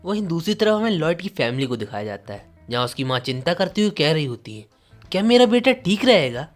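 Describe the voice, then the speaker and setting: A man delivers a dramatic scripted story recap. His voice sits a little high and moves with very lively intonation, over soft music. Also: fast talker. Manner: animated storytelling, voicing quoted dialogue. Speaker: manga recap narrator. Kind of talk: dramatic scripted story narration in Hindi with some English words.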